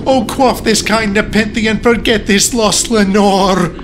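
A man playing an overwrought, frantic haunted gentleman in a melodramatic comic poem performance, exclaiming theatrically. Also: high-pitched, lively intonation, moderate pace, background noise, pitched above the performer's own voice. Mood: anguished fury. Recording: music under the voice. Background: music.

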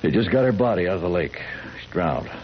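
A calm small-town sheriff with an extremely deep voice. He is deliberate and folksy, probing without fuss. It sounds like a muffled vintage radio mystery with faint background noise. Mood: grave, matter-of-fact. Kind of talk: 1970s radio drama acting. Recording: muffled, noisy 1970s radio recording.

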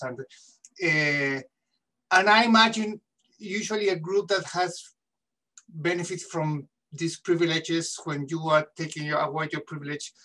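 Chilean-accented man, halting, set back from the mic. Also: a little high, fairly bright tone, recorded from a distance, rough recording. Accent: Chilean Spanish. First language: Spanish. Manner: halting, repeats words while searching for them. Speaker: audience questioner. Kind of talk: video-call question. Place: home study with bookshelves. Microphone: laptop webcam mic on video call.

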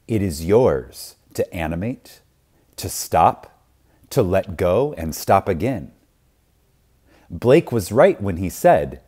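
A man reading a spiritual lecture aloud in a reverent, deliberate manner, with pauses and emphasis. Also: low-pitched, a little mellow. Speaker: reader of a lecture.

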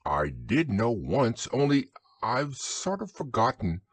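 A man's voice: medium pitch, big pitch swings, slow, slightly rough, no room sound, exaggerated voice. A man voicing a carefree, friendly young boy in a storybook, with a casual manner. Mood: careless. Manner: casual, careless.

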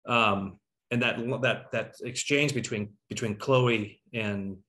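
A middle-aged man moderates a casual online talkback. His voice sits a little low. He speaks conversationally, with emphasis, thinking out loud as he goes. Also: fairly even intonation, slow pace. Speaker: host. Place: at home on a video call.

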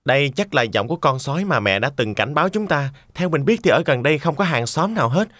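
Eldest little pig brother: high-pitched, sharp, anxious and stubborn, for a fairy tale cartoon. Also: male voice, big pitch swings, fast, no echo. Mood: defiant.

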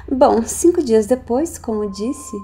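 Actress as a creaky-voiced, mocking, unhinged woman. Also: a little high, mellow, a little hiss or hum, heavily acted. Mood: bitter recollection. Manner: theatrical, sardonic, musing. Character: unhinged woman recounting her cultish family's cruelty, mocking, nihilistic. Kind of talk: audio drama acting.

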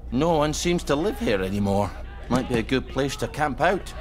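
A man acts a wry, regretful video game hero. His voice sits at a middle pitch, and clear music plays behind him. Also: animated, moderate pace, natural voice. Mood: somber.

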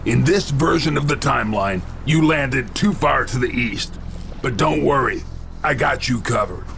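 An actor playing a cocky, wisecracking action hero in a video game, with a gravelly, deadpan delivery. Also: male voice, mid-range voice, a little slow, background noise. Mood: wry, calm. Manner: deadpan, sardonic one-liners. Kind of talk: video game cutscene acting.

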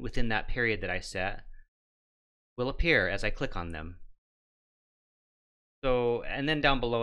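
A middle-aged man hosting a how-to show, walking through steps in a plain, instructional and somewhat halting way. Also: lowish voice, bright, forceful tone. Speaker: host of a ham radio how-to show. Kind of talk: step-by-step tutorial narration.

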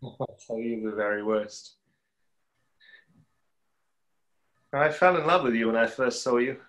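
A man's voice: medium pitch, a little fast, a bit bright, close to an everyday voice, rough recording. A young man playing a tormented, lovestruck conjurer in a stage play reading, with theatrical, emphatic delivery. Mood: grave, confessing. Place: small room at home, video call.

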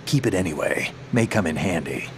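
A seasoned American male operative in a video game, guarded and terse. His voice is low with lively inflection, set against clearly noisy vehicle ambience. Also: brisk. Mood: probing, gruff. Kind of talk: video game cutscene acting.

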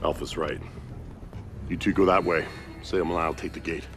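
An actor playing a stern, principled military squad leader in a game cutscene, terse and commanding. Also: male voice, low voice, fairly even intonation, moderate pace, music in the background, natural voice. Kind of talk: video game cutscene acting.

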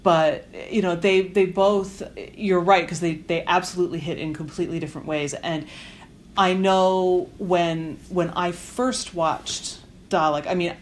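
A woman with a somewhat low voice chats casually as a podcast interviewer. Her talk is conversational and unscripted, recorded with clear ambient noise around her. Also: steady tone, a little slow.

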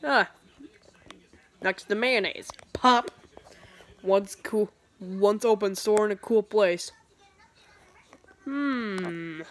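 A woman's voice: medium pitch, extremely slow, pauses often, a bit bright. A woman reading a webcomic aloud and switching voices for each character, filmed in a dim room while pointing a phone at a tablet. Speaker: comic dubber.

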